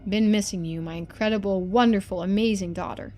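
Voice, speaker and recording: A woman's voice: medium pitch, steady tone, brisk, mellow, faint music behind, lightly acted. A woman voicing a loving, lonely middle-aged father in a story game, reading his letters in an earnest, folksy manner.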